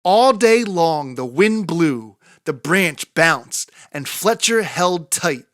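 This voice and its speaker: A woman narrating a children's picture book in an expressive read-aloud style with dramatic emphasis. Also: fairly low pitch, slow, no echo, clean studio sound. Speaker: children's story reader.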